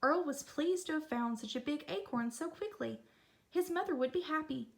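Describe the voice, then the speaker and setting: High-voiced woman reading a children's story. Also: normal speed, vocal fry. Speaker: storybook reader. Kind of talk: expressive children's read-aloud narration.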